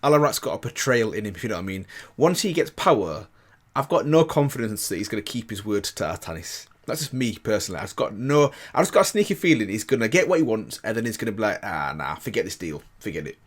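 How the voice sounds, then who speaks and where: Male host, casual reaction commentary, lower pitch, lively intonation, chatty, emphatic repetition. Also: fast.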